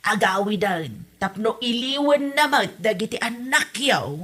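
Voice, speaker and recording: A woman's voice: fairly high pitch, animated, measured pace, fairly bright tone. A woman with an Ilocano accent playing a pleading, defensive village wife in a comedy radio drama. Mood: brisk, casual.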